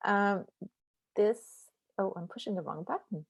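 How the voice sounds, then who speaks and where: A middle-aged woman from France with a French accent, giving a relaxed online slide talk as a beekeeping presenter, often pausing with ums and uhs. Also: medium pitch, gravelly, breathy edge, lo-fi sound.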